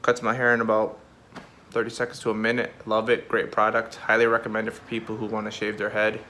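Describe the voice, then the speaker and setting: A man from Milwaukee giving a quick, upbeat casual update video. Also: lowish voice, monotone, pushed and bright, a little hiss or hum. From Milwaukee, Wisconsin. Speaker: customer giving a testimonial.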